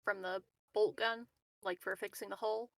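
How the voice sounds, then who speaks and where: A woman playing a tabletop role-playing game, casual and halting as she thinks aloud. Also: medium pitch, steady tone, gravelly, fairly bright tone, dry recording, studio quality.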